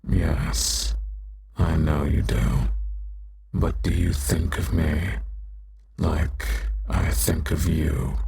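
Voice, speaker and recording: Casting: male actor for a powerful bound demon, extremely low gravelly voice, possessive and devoted, intimate audio roleplay. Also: darkish tone, exaggerated voice. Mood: insistent, jealous. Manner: slow, deliberate, formal, ardent phrasing.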